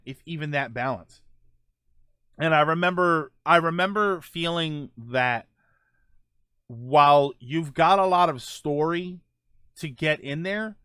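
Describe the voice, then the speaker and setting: A man's voice: a little high, bright, forceful tone, smooth, no echo, clean studio sound. A man hosting a gaming show, chatting casually with viewers and thinking aloud in a rambling, conversational way. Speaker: host of a gaming show, streamer. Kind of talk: casual chat answering live viewer comments.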